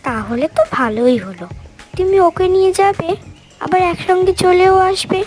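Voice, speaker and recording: A woman's voice: very high-pitched, very animated, normal speed, darkish tone, soft background music. A woman voicing a pert, outspoken little female bird in a fairy-tale cartoon. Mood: chatty.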